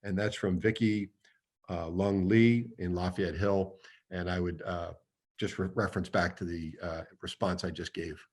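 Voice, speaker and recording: Man, rather low and booming, rambling superintendent answering questions on a video call. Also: fairly even intonation, a little slow, a little mellow. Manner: rambling, with many fillers. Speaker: school superintendent. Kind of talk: reading and answering public comments at a video meeting.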